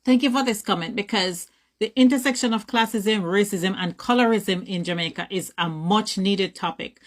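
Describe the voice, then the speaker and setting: A woman's voice: mid-range voice, moderate pace. An articulate woman gives earnest commentary. Her voice sits in a plain middle range.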